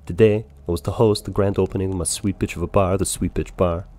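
A man's voice: low voice, fairly even intonation, quick pace, mellow, natural voice. A man voicing a breezy, boastful traveling bar owner in an improvised video game roleplay, rambling and swearing freely. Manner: rambling, profane. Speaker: roleplay player and narrator. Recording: noisy game audio, ambient background sound. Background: game world ambience.

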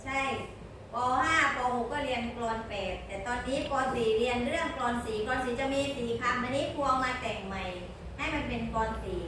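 High-voiced teacher in an echoey classroom. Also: female voice. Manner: asks the class frequent coaxing questions. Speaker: primary school teacher. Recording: echoey classroom, distant mic, background noise.

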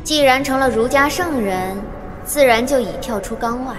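Court seer for a wuxia drama, woman actor: high voice, formal, measured, composed, in a reverberant space. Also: close to an everyday voice. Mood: composed, certain. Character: composed seer reporting omens to the empress. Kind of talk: Chinese audio drama acting. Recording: long reverb, music behind.

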